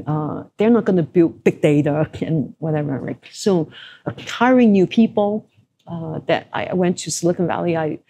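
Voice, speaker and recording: A woman's voice: fairly low pitch, mellow, slight background noise. A middle-aged woman from Southeast Asia, a former executive, answering interview questions in a reflective, conversational way with frequent fillers.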